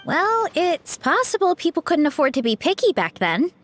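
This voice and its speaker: Young adventurer gal, high voice that goes up and down, doubting and working things out. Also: female voice, wildly swooping pitch, normal speed, faint music behind, heavily acted. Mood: skeptical. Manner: reasoning, skeptical. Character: young woman adventurer, thoughtful and reasonable. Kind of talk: game voice acting.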